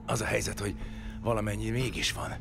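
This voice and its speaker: An actor playing an arrogant, contemptuous outsider held captive, speaking with scornful superiority in an audio drama. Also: male voice, on the low side, animated, gravelly, over music. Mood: bargaining, wry.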